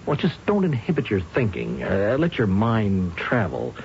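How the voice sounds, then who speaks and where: An actor playing a patient, methodical police lieutenant who questions people politely and hesitantly in an old radio drama. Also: male voice, on the low side, wildly swooping pitch, measured pace, darkish tone, exaggerated voice. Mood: patient, measured. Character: unhurried, probing male police lieutenant questioning a suspect. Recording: noisy, muffled old radio recording.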